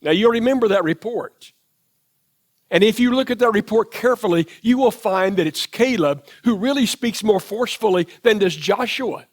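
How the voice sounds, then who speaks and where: A man with a Southern US accent preaching a sermon in church, reflective and retelling scripture in his own words. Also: high voice. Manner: reflective, paraphrases scripture in first person. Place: church sanctuary.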